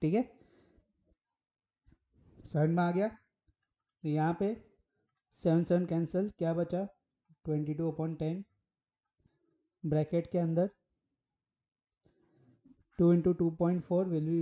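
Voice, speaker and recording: A hoarse man teaching maths at a whiteboard. He works through each step and keeps asking rhetorical questions as he goes. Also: on the high side, dull audio. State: hoarse from a sore throat.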